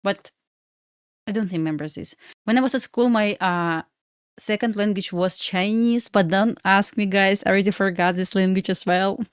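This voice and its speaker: A woman's voice: mid-range voice, moderate pace, smooth, no room sound, studio quality. A young woman from Belarus, a livestream host and day trader, chatting casually with viewers in full of fillers. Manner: chatty, addresses viewers as guys, frequent uh fillers, non-native phrasing.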